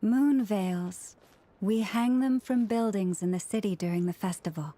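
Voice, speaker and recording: An actress playing a calm, gracious young woman in a video game, speaking with measured, polite formality. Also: mid-range voice, natural voice. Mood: gentle explaining. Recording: music behind the voice.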